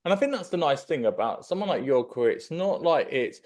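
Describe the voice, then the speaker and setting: A man's voice: fairly high pitch, sing-song, a little fast, a little mellow. A man, interviewing casually and at length as a podcast host. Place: kitchen at home. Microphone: desk microphone and headphones.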